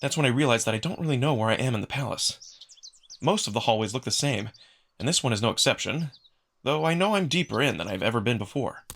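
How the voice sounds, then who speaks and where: A man giving an expressive, dramatic first-person narration of a visual novel, acting out the narrator's feelings. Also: mid-range voice, fast talker, vocal fry, a little hiss or hum. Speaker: narrator, amateur voice performer.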